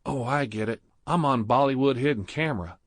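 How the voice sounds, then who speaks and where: A man's voice: mid-range voice, brisk, dry recording, studio quality, pitched above the performer's own voice, lightly acted. A man voicing a grumpy, miserable man in a sarcastic, whiny way. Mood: freaked out.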